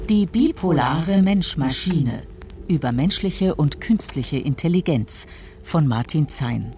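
Low, mellow woman in radio voice-over. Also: expressive, takes it slowly, soft background music. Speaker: voice-over translator and announcer.

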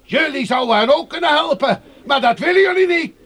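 An actor playing an angry, accusing agitator who rants coarsely in a radio drama. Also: male voice, very high-pitched, animated, brisk, a bit bright. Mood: furious, accusing. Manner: ranting, accusing, coarse-mouthed. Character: angry sighted agitator shouting at the gate for the blind. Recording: noisy radio play recording.

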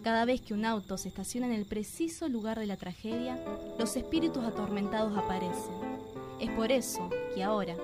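A woman with an Argentine accent narrating a radio drama in a measured, suspenseful storytelling manner. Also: fairly high pitch. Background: music.

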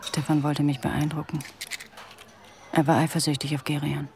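An actress in a German radio drama playing a sharp-witted young female stenographer, speaking her thoughts in a dry, wry inner monologue. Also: low voice, level tone, normal speed, a little hiss or hum. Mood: pondering.

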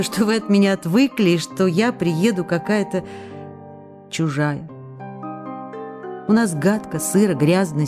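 An actress playing an affectionate, teasing, wistful woman of the theatre reading her letters aloud in an intimate, expressive radio drama style. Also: medium pitch, natural voice. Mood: weary, wistful. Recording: music behind the voice.

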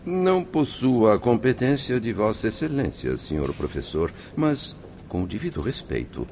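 An actor playing a devout, earnest gentleman in a radio drama, courteous and formal while reproving. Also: on the low side, sing-song, moderate pace, gravelly, slight background noise.

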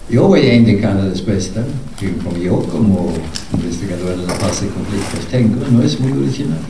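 Slow, mellow older man lecturing in a hall. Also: medium pitch, a little hiss or hum. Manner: measured, emphatic, pausing between short phrases. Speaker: peace researcher giving a lecture. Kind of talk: public lecture. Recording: echoey hall, speaker far from mic.

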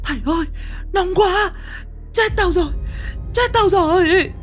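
A man's voice: very high voice, a little slow, faint music behind, voice pushed up. A man voicing a possessed village woman whose spirit shrieks, wails and begs from far off. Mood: agonized shrieking. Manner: shrieking, pleading, wailing.

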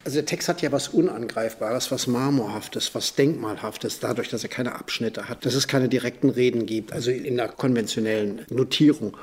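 A man's voice: medium pitch, moderate pace, hardly any pauses, breathy edge. A man speaking as an interviewed writer, reflective and analytical in his commentary.

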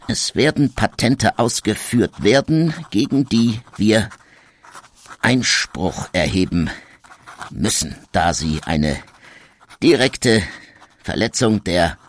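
A man voicing a formal nineteenth-century company official who reads out a protest very slowly. His delivery is solemn and emphatic, and his pitch rises and falls widely across each phrase. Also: big pitch swings, exaggerated voice. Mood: formal, grave. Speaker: voice reading period documents. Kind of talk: slow dramatic reading of historical quotes.

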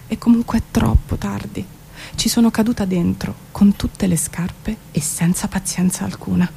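A woman's voice: mid-range voice, creaky, dark tone, husky. A woman giving a melancholic, introspective, theatrical spoken-word reading of poetic prose, as a stage performer.

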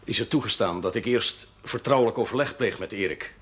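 A man's voice: fairly low pitch, brisk, close to an everyday voice. An actor playing a sardonic, defiant clergyman in a television drama, speaking with ornate sarcasm. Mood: sardonic, indignant.

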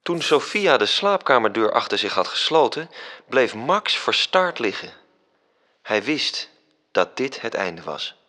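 A man narrating a literary radio drama in a measured, serious storytelling manner. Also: mid-range voice, big pitch swings, bright, forceful tone.

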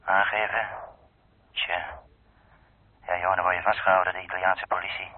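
A gruff male boss in a radio drama. His voice is deep and slightly husky, and he sounds worried. The old recording is narrow and phone-like. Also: level tone, moderate pace, dry recording. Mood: grumbling, pondering.

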